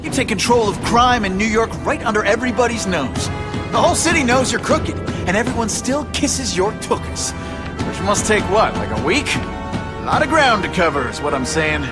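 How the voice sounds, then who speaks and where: An actor playing a cocky young masked superhero in a video game, sarcastic and quippy. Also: male voice, high-pitched, expressive, normal speed. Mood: mocking, playful. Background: music.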